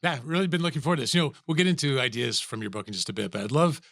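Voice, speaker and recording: Male podcast host, polished and eager, mid pitch, dry studio sound. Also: racing, gravelly, a bit bright, no echo. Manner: polished, enthusiastic introductions. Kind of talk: podcast interview and intro.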